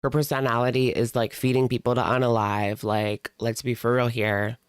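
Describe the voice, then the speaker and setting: Woman, smooth clear voice, dry sound, snarky reaction commentary, slangy, drawn-out emphasis. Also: extremely low pitch, flat delivery, no room sound. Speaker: reaction streamer commenting on a video.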